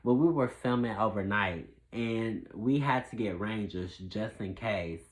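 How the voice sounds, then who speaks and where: A man's voice: lowish voice, a little slow, rough recording. A man with a head cold, sounding stuffed up, who chats casually to his viewers with many restarts and self-corrections. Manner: laughs at his own jokes. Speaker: filmmaker vlogger. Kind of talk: casual talking-to-camera vlog.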